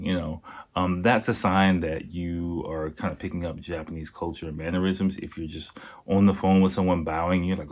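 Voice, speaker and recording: A man with a very low voice and a slight hoarseness, easing through a solo podcast. He rambles in a relaxed, unhurried way and swears freely, as if chatting with a friend. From United States. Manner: laid-back, profane, rambling. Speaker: podcast host. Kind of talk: casual solo podcast talk. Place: Japan.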